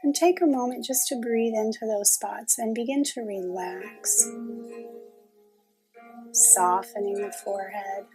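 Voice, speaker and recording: A woman leading a guided meditation in a calm, gentle, unhurried manner with long pauses. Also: fairly high pitch, soft background music, poor audio.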